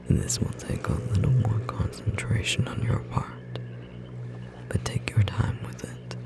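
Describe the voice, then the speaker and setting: A performer voicing a tender magical bookstore clerk in an ASMR roleplay, whispering softly and gently encouraging a beloved partner, with a slightly rough edge. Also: low-pitched, sing-song, slow pace, pauses often, loud music behind the voice, full character voice. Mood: gentle, guiding. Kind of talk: ASMR roleplay, intimate whispered second-person acting.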